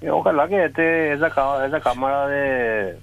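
A man, rambling about football on a radio show in a halting, slangy way. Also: mid-range voice, vocal fry, fairly bright tone, dull audio. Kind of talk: casual football talk on radio.

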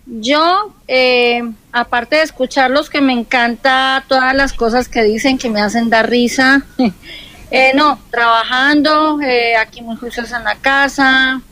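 A woman's voice: high-pitched, fairly even intonation, a little slow, sharp tone, no echo, low-quality recording. A woman from Colombia, calling into a live stream, speaking shyly and hesitantly. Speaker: caller.

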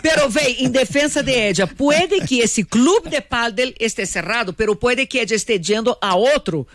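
A Brazilian woman speaking Spanish with a Portuguese accent, chatting as a guest on a radio show, animated and quick to laugh. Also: on the high side. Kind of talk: casual radio chat.

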